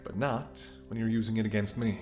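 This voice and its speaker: A man's voice: on the low side, fairly even intonation, brisk, darkish tone, soft background music, lightly acted. A man playing a menacing, smirking mercenary ex-bodyguard in an audio roleplay, with a taunting, sardonic way of talking. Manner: taunting, sardonic, swearing, drops to whispers. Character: menacing, smirking male mercenary ex-bodyguard holding someone at gunpoint. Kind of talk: dramatic audio roleplay spoken to the listener.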